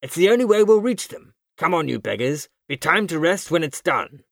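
Man voicing a bellowing, commanding boat chief, pitched high. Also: big pitch swings, moderate pace, vocal fry, a bit bright, no room sound, clean studio sound, heavily acted. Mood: driving, insistent. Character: gruff, commanding male gig-boat chief, bellowing and relentless. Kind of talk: dramatic storybook character voice.

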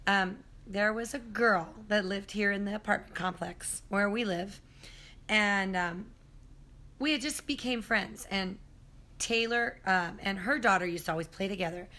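Hoarse middle-aged woman rambling through a spooky story at home, recorded on a phone. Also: medium pitch, a little slow, bright, forceful tone. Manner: rambling, with many "um"s and self-corrections. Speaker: guest storyteller. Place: apartment kitchen at home.

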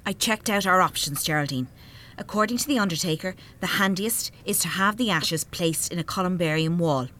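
An actress playing a practical, spiteful grown daughter in a radio play, with an Irish accent and a dry, matter-of-fact manner. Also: female voice, fairly low pitch, fairly quick, fairly bright tone, lightly acted. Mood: brisk, dry.

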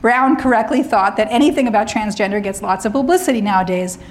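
Woman lecturer clearing her throat. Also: a little high, fast talker, slight background noise. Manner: frequent throat clearing, wry asides. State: slightly hoarse, clearing throat. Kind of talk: lecture on academic freedom.

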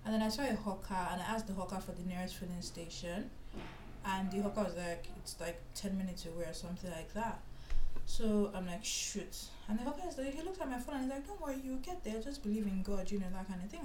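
A woman from Nigeria telling a story in casual, animated chat, with lots of "like" and "you know". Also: medium pitch. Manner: chatty, animated, filler-heavy storytelling. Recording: roomy echo with faint background hiss.

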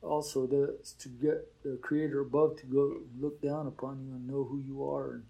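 An older man speaks at an unhurried pace in an interview, reflecting as he goes. His voice is dark and relaxed. He keeps it conversational, with plenty of "you know.". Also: medium pitch, creaky, muffled recording. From Oklahoma. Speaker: teacher at a boarding school.

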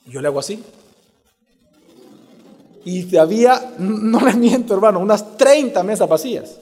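A man's voice: high voice, animated, normal speed, darkish tone, long reverb tail. A man with a Salvadoran Spanish accent, preaching as a pastor, telling a story and then building to emphatic points while quizzing his congregation. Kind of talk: sermon with personal story.

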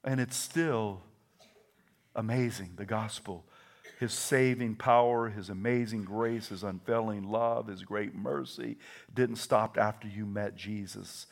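A middle-aged man with a Southern American accent preaching a sermon, telling stories with quoted voices and building from hushed asides to shouted proclamations. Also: fairly low pitch, a little slow, creaky. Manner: animated storytelling with quoted voices.

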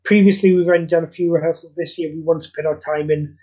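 A man with a notably high voice and a husky edge talks casually as an interview guest. He is earnest and rambles informally. The sound is dull and lo-fi. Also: steady tone, fast, darkish tone. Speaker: interview guest, creator of charity audio plays. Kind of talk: casual podcast interview chat.

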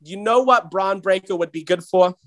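A man hosting a comedy wrestling show, ranting with heavy profanity and excitement. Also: high voice, moderate pace. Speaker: host.

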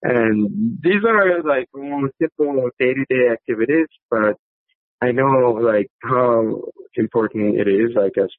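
A man in his thirties from South Korea with a Korean accent, giving halting, conversational interview answers peppered with filler words. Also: medium pitch, no room sound. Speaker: podcast guest, company executive. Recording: thin, narrow phone-like line.

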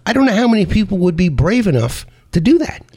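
Husky-voiced male interview host. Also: high voice, sing-song, quick pace, dark tone. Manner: enthusiastic, stumbling restarts. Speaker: talk show host. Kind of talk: hosted interview.

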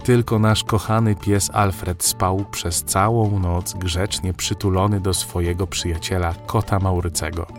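A man narrating a scripted children's story in an expressive, wry way. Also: low voice, a little slow, few pauses. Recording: faint music behind narration.

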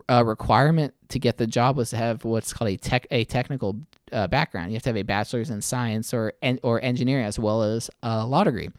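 A man giving thoughtful interview answers, halting often and repeating his words as he goes. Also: fairly low pitch, darkish tone, dry recording, studio quality. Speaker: interview guest, attorney.

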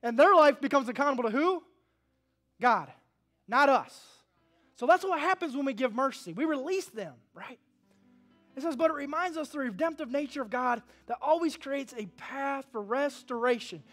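A pastor in his thirties preaching a sermon, animated, swinging from hushed to loud and calling for responses from the congregation. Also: very high voice, normal speed, faint music behind. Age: 30s.

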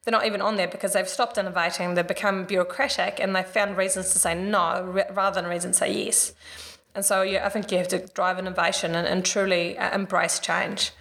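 A woman's voice: mid-range voice, flat delivery, fairly quick, gravelly, a bit bright. A middle-aged woman from Sweden with a Swedish accent, answering interview questions in a chatty, casual way full of "like" and "you know". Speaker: interview guest, business founder.

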